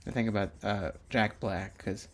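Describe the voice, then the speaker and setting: A man's voice: on the low side, steady tone, normal speed. A young man talking casually while drawing for his own video channel, meandering with many fillers and restarts. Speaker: drawing YouTuber.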